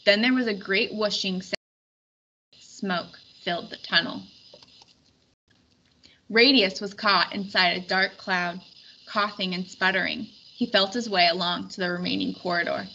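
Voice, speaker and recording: A woman reading a storybook aloud in expressive scripted narration. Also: medium pitch, measured pace, long pauses. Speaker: storybook reader. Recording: dull recording with faint background noise.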